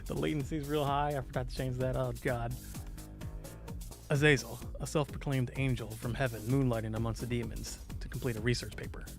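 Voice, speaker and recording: A man's voice: medium pitch, little pitch movement, a little fast, gravelly, over music. A man streaming a game live, chatting casually and reading out game text in a flustered, apologetic, self-deprecating way.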